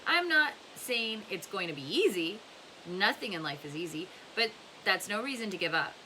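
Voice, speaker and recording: Storybook male counselor, read by a woman: earnest, lecturing, pointed, with lively pitch movement. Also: normal speed, poor audio. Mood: earnest, encouraging. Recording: clear background hiss.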